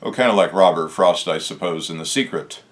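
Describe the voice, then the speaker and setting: A man with a deep, low voice, a bit hoarse today, thinks aloud at his own pace. He hosts his own talk, reflective and casual, and stops often with "uh" while he gathers his thoughts. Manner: musing, unhurried, with frequent "uh" pauses. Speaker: channel host discussing Masonic lore.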